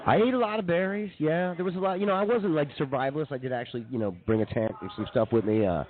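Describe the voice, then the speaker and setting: A man's voice: on the high side, fast. A man co-hosting a comedy radio show in casual conversation, on a tinny phone-like stream.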